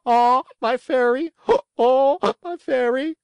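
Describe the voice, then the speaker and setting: A man voicing a young male puppet turned into a donkey, with high, straining cries. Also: slow pace, gravelly, clean tone, no room sound, heavily acted. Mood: crying out.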